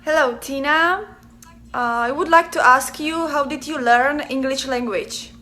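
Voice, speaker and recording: A woman politely asks a short question in a prerecorded clip. Her voice is high-pitched and bright, and it sounds a little distant from the mic. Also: unhurried. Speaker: student asking a question. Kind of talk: short recorded video question.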